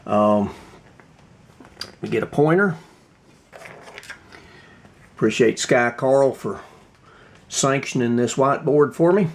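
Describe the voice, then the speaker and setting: A man giving a casual hands-on workbench walkthrough, halting and thinking aloud as he explains. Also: medium pitch. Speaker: hobbyist radio restorer presenting his repair.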